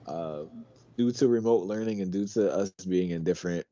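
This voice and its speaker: A young man from Pennsylvania co-hosting a podcast, talking in a deep, quiet voice through his teeth, relaxed and rambling. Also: normal speed, no room sound, muffled recording. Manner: relaxed, rambling, swears casually. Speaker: podcast co-host. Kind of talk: casual chat.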